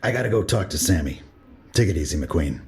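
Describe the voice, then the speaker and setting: A man voicing a calm, direct ship lieutenant in a tabletop game. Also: low-pitched, a little fast, crowd noise. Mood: steady. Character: steady, trusting male ship lieutenant.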